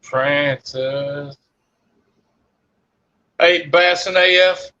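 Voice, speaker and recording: A man's voice: a little high, extremely slow, pushed and bright, a little hiss or hum. A man in his fifties on a live stream panel, joking and teasing in casual chat, laughing often. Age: 50s. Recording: dull lo-fi stream audio.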